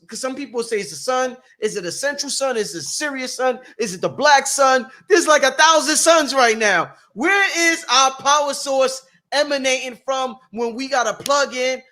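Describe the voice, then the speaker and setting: A man's voice: extremely high pitch, pushed and bright. A man in his forties hosting a casual online talk show, asking loose, slangy questions with lots of filler words. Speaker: host. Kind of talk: casual podcast chat.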